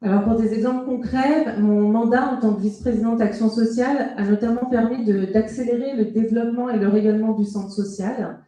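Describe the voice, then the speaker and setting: A woman speaks as a local councillor at a public meeting. Her voice sits a little high but stays dark and gentle in tone. She delivers prepared remarks in a formal, measured way, and the room around her is strongly echoing. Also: fairly even intonation. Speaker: local councillor giving a campaign speech. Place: indoor hall at a public meeting.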